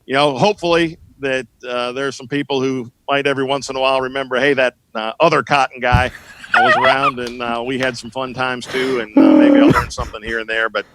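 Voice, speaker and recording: A middle-aged man, a sports broadcaster, reminiscing as a guest in a casual conversation, telling anecdotes in a halting, searching way. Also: mid-range voice, steady tone, heavy background noise.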